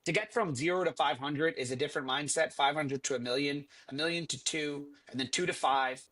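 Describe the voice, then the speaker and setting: A middle-aged man with a bright, slightly raised voice talks through his views in a relaxed conversational way. He is earnest and often restarts his sentences with fillers. He sounds like a business leader speaking on a panel. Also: fairly high pitch, a little fast. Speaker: franchise company CEO. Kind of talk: panel discussion answer.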